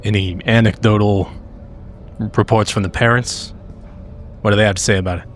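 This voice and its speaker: A man's voice: fairly low pitch, steady tone, moderate pace, slight background noise, lightly acted. A man playing a blunt, practical manhunter agent in a tabletop roleplay, plain-spoken and dry. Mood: dry, questioning. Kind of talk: improvised tabletop roleplay dialogue.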